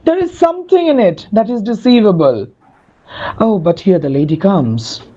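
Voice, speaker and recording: A woman playing a bewildered, smitten young gentleman in a dramatic reading of Shakespearean comedy. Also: mid-range voice, animated, normal speed, muffled recording, close to an everyday voice.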